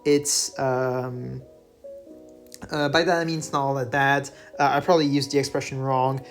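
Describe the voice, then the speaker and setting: A man's voice: mid-range voice, normal speed. Man, french is his first language, game commentary, lots of uhs, faint music behind. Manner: relaxed, filler-heavy, self-correcting. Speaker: gaming channel host. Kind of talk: gameplay commentary voice-over. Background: faint background music.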